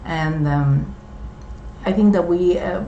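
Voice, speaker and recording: A middle-aged woman from Puerto Rico, with a Puerto Rican accent and Spanish as her first language, talking in a slow, halting way with many fillers. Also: fairly low pitch, a little hiss or hum. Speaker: co-host. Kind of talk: casual chat. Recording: dull, echoey room recording.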